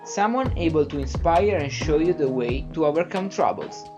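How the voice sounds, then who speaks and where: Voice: mid-range voice, animated, moderate pace. A narrator reading a scripted voice-over for a sports video. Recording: dull muffled sound, music bed.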